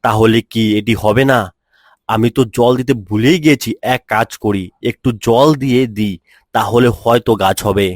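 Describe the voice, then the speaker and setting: A man's voice: lowish voice, fairly even intonation, moderate pace, no room sound, studio quality, natural voice. A man playing a naive, earnest and hopeful young son in a cartoon moral story. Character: hopeful, innocent young son who loves eggs. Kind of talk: cartoon dialogue.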